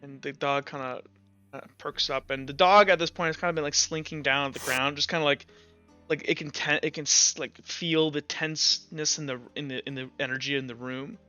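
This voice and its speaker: A man working as game master, narrating and explaining casually in a halting, informal way. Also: fairly high pitch, fairly even intonation, bright, forceful tone, clean tone. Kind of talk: casual tabletop roleplay narration. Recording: dull, lo-fi with music behind. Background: music.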